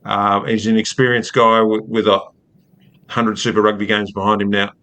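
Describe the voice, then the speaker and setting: Man, rugby writer, podcast guest, low voice, flat delivery, measured. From Australia. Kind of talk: casual analysis.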